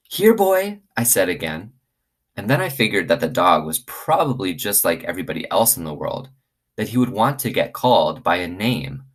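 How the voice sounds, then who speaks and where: Deep voiced guy reading a kids book out loud, teacher style, expressive. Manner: expressive, acts out dialogue. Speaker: teacher reading aloud to students. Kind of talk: read-aloud storytelling for children.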